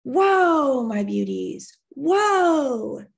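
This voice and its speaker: A woman's voice: high voice, sing-song, very slow, gravelly, pitched above the performer's own voice, exaggerated voice. A woman voicing a tough, plainspoken woman stagecoach driver with a firm, folksy way of talking in a storybook. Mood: yelling commands. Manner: commanding, folksy frontier talk.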